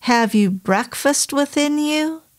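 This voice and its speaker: A woman voicing a gentle, formal innkeeper. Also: high-pitched, animated, slow, voice pushed up. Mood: gentle, patient. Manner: stiff, oddly formal phrasing. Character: fortyish male innkeeper, gentle, halting English.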